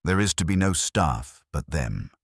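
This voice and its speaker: Man reading as an anxious wife, low husky voice. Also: big pitch swings, unhurried, no room sound, studio quality, full character voice. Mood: worried. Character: anxious, well-bred wife pleading for detective's help.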